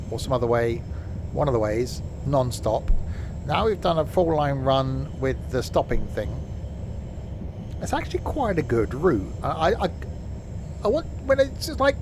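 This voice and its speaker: A man's voice: medium pitch, noisy background. A man, chatting casually and rambling with fillers while live-streaming a game.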